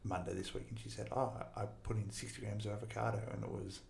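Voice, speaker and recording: A man's voice: lowish voice, quick pace, gravelly, reverberant. A man hosting a casual interview, talking loosely about coaching clients with many fillers. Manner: relaxed, laughs easily, filler-heavy. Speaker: host and fitness coach.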